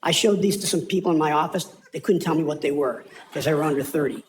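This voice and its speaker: A man's voice: fairly high pitch, fast talker. A man giving formal testimony as the leader of a trade group at a government hearing. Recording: clear room noise, hearing ambience.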